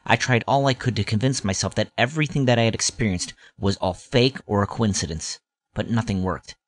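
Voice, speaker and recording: A man's voice: on the low side, fairly quick, close to an everyday voice. A man playing a grown-up wryly recalling his boyhood in scripted first-person audio drama narration. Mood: wry, nostalgic. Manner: wry, nostalgic storytelling.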